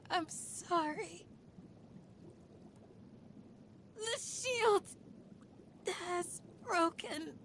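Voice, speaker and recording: A woman voicing a calm, protective young guardian of a desert village in a video game, gentle and earnest. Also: very high voice, slight background noise, full character voice. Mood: pained, defeated. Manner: gentle, earnest, composed in talk. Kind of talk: video game character voice lines.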